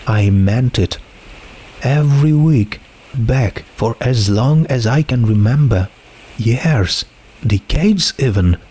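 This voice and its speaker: Man voicing a troubled teenage girl, slow and halting. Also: mid-range voice, mellow, slightly rough, pitched above the performer's own voice. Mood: insistent, uneasy. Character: troubled, anxious teenage girl confiding a secret. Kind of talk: dramatic fan fiction reading.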